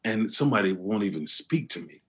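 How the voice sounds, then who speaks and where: Husky deep-voiced man, earnest. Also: expressive, a little fast, a bit bright. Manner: earnest, with many restarts and fillers. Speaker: guest. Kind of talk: conversational interview.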